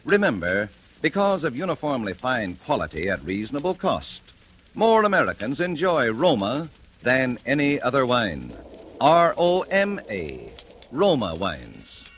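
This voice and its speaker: A male announcer reading a 1940s radio commercial in a smooth, measured, persuasive way. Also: mid-range voice, huge pitch swings, a bit bright. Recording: noisy old radio broadcast.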